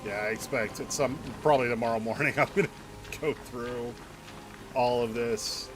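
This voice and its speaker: A man's voice: mid-range voice, moderate pace. A man doing casual, rambling video game commentary, half muttering to himself as he plays. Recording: game music behind the voice. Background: video game music.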